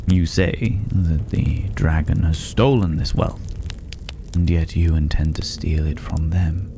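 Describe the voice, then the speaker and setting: Man acting a very deep, cultured, mysterious stranger. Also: full character voice. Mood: probing, disdainful. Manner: measured, pausing, philosophical, lapsing into breathy whispers. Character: mysterious, cultured, solitary male stranger living among dragon's hoard. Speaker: ASMR roleplay voice performer. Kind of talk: ASMR fantasy roleplay. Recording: clear steady background noise.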